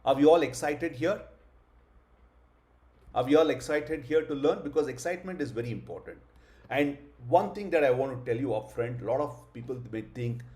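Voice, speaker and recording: A man's voice: mid-range voice, a little mellow. A middle-aged man giving a live online class, earnest, with many hesitant fillers and rhetorical questions. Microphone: video call.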